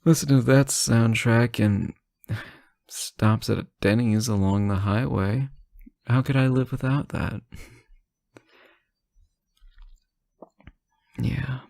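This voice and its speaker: A man playing a sleep-deprived, teasing boyfriend on an intimate late-night call, soft-spoken, hesitant and gently joking. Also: mid-range voice, measured pace, gravelly, no room sound, studio quality. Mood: playful, fond. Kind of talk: intimate audio roleplay, one side of a phone call.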